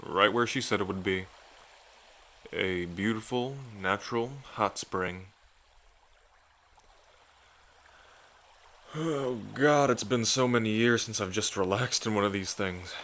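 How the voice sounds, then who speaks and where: A man playing a romantic dragon king in an intimate ASMR roleplay, tender and unhurried, with playful pride as he talks to the listener. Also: fairly low pitch, pushed and bright, a little hiss or hum. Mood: smug, relaxed.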